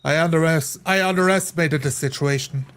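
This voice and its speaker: A man playing a sober fantasy adventurer in a tabletop game, speaking formally and deliberately. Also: a little high, a bit bright, smooth, close to an everyday voice. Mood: grave.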